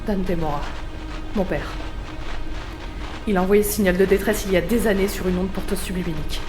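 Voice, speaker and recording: A woman's voice: medium pitch, fairly quick, close to an everyday voice. A woman playing a determined, earnest runaway in a French sci-fi audio drama. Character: determined, earnest female runaway seeking her lost father. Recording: roomy echo, music behind.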